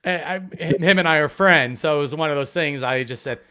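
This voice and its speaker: Excitable man from Ohio, rambling casual stream talk, many restarts. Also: on the high side, brisk, clean tone. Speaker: metal detecting YouTuber, guest. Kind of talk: casual live stream chat.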